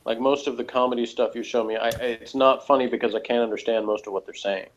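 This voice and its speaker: A man's voice: mid-range voice, fairly even intonation, fast, dull audio. An American man in casual discussion, rambling a little and hesitant, speaking plainly. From United States. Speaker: podcast reviewer.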